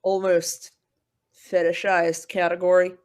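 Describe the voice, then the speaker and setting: A woman vocalist, a guest on a podcast, talking in an animated way and restarting her sentences. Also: on the low side, unhurried, clean tone. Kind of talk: podcast conversation.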